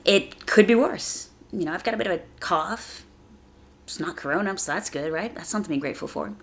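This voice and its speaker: A woman hosting a casual motivational podcast, speaking conversationally and earnestly, slightly raspy from a chest cold. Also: lowish voice, lively intonation, a little fast. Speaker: podcast host.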